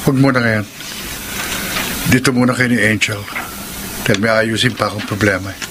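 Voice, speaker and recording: An actor playing a troubled, secretive, stubborn young husband in a Filipino radio drama, guarded and weary. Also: male voice, lowish voice, steady tone, a little fast, background noise, lightly acted.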